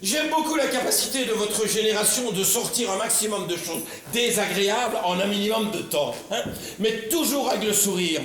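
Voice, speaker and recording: A man's voice: high voice, animated, normal speed, a bit bright. An actor playing a condescending middle-aged politician father, ironic and mock-polite, in a stage comedy. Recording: very echoey stage recording.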